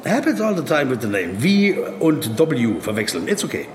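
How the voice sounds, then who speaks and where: An actor playing a pensive, insecure German business traveller in a radio play, brooding and wordy, sometimes awkwardly polite. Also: male voice, mid-range voice, sing-song, moderate pace, noisy background, natural voice. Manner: brooding inner monologue, shifting to awkward small talk. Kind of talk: dramatised literary monologue, radio play.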